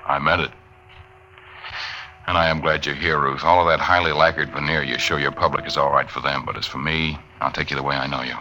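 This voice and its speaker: An actor playing a suave, earnest writer with a smooth, persuasive manner, in mid-century radio suspense style. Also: male voice, a bass voice, steady tone, a little fast, muffled recording. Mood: smooth coaxing. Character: suave, earnest male writer placating a scorned lover. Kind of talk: 1940s-50s radio suspense acting. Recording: vintage radio drama with music.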